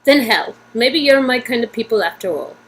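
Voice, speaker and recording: A woman's voice: a little high, lively intonation, moderate pace, a bit bright, poor audio. A woman voicing an aloof, guarded, lonely female secret agent from an interactive story game, with a put-on British accent. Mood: guarded, disbelieving.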